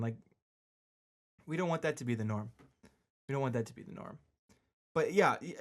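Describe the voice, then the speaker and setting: Casual male sports podcast host, slightly high voice, loose rambling delivery, repeats himself to hammer points home. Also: a bit bright. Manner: rambling, repeats phrases for emphasis. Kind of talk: casual solo sports commentary.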